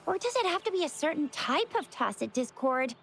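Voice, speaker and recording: A woman voicing a bubbly, eager young girl adventurer in a video game, with an excitable, chirpy way of talking. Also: sits high, brisk, gravelly, slight background noise, full character voice. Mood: curious.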